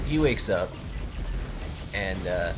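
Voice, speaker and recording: Low-voiced man rambling through game commentary. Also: takes it slowly, background noise. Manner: deadpan, tongue-in-cheek, meandering. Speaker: host of a video game let's play series.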